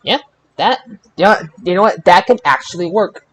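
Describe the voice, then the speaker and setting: Halting male podcast host, casual chat. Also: high voice, expressive, fairly bright tone. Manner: halting, stammering restarts. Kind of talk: casual wrestling review chat.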